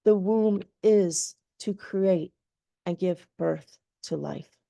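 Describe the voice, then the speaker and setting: A woman voicing a softly whispering goddess spirit. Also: on the low side, slow, vocal fry, no room sound. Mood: tender. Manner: soft, whispering. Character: gentle wise female goddess spirit.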